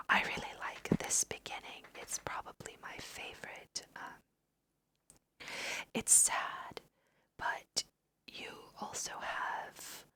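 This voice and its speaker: Whispering, unhurried woman guiding a game. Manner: whispers throughout, soft and unhurried; alternates reading game text aloud with casual personal asides. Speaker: host of a video game let's play. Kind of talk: ASMR whispered game commentary.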